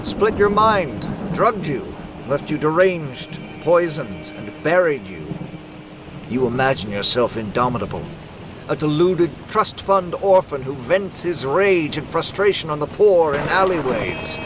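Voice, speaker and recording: A man's voice: on the high side, sing-song, a little slow, background noise. A man playing a cruel, grandiose criminal mastermind with theatrical, taunting menace in a motion comic. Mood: gloating menace. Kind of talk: animated motion comic acting.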